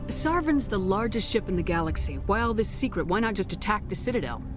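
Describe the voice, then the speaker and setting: A woman's voice: medium pitch, lively intonation, fast, music in the background, lightly acted. A woman voicing a resolute military commander in a sci-fi game, asking serious, direct questions. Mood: probing. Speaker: game protagonist voice actor. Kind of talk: dramatic sci-fi game dialogue.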